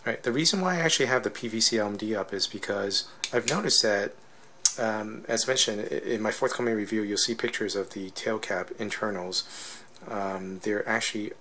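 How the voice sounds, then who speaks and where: A man's voice: lowish voice, a little fast, sharp tone. A man giving a casual, unscripted technical demonstration as a product reviewer, explaining step by step and often checking in with a questioning tag.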